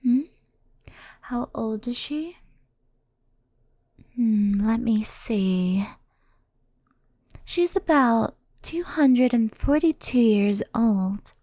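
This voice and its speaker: A woman playing a gentle, anxious, centuries-old fox spirit in an ASMR roleplay, speaking softly and often whispering close to the microphone. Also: on the high side, animated, no room sound, full character voice. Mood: pensive. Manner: soft, breathy, often whispered, unhurried.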